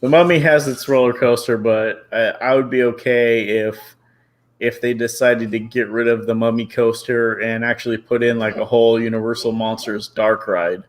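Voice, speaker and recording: Enthusiastic man in his early thirties. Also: on the low side. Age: 30s. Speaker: podcast panelist. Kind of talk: casual chat.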